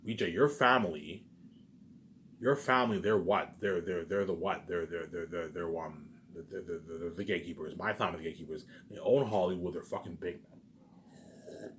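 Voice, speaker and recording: A man giving a rambling, unscripted, repetitive monologue to viewers, emphatic, with loud bursts that drop into muttered asides. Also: low-pitched, normal speed, slight background noise. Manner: repetitive, circling back to same phrases. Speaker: online video maker.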